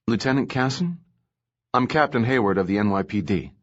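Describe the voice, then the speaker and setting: A man's voice: on the low side, lively intonation, brisk, lightly acted. A man reading a clipped woman police captain. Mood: polite. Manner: clipped, businesslike questioning. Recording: slightly dull lo-fi audio.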